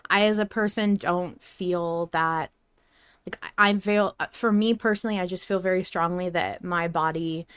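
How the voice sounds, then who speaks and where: A woman's voice: fairly low pitch, fairly even intonation, moderate pace, a bit bright, clean tone, no room sound, studio quality. A woman co-hosting a podcast, talking casually in a blunt, emphatic, opinionated way with some swearing. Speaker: podcast co-host.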